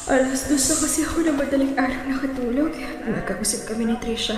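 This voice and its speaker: A defensive, careless Filipino wife played by an actress in a radio drama. Her voice is medium in pitch, set in a long reverb with music plainly audible behind it. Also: moderate pace, lightly acted. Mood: sleepy, casual. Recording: very echoey hall, clear music behind.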